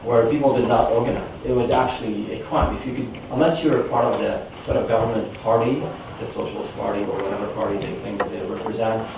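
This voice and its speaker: A man's voice: fairly low pitch, fairly even intonation, fast, hardly any pauses. A man giving an informal public presentation as a guest speaker, talking conversationally and often checking in with listeners. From born in Damascus, Syria, moved to the US when young. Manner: conversational, often checking with "you know" and "right?". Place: indoor room in front of an audience. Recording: very echoey room, distant mic, clear noise.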